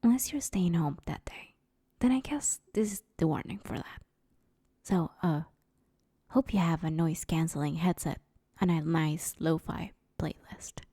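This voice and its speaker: A woman's voice: on the low side, a little slow, dark tone, husky, no room sound, studio quality. A woman playing an awkward, broke but friendly supervillain neighbor in a roleplay audio drama, rambling casually and hesitantly as she angles for favors. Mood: sheepish, casual.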